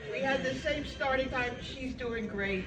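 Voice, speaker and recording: A woman's voice: high voice, unhurried. Older New woman doing stand-up. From Brooklyn, New York. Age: 70s. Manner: chatty, self-deprecating, banters with the audience. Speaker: stand-up comedian. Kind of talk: stand-up comedy set. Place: senior center hall. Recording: echoey hall, from far off, loud crowd. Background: audience reactions and room noise.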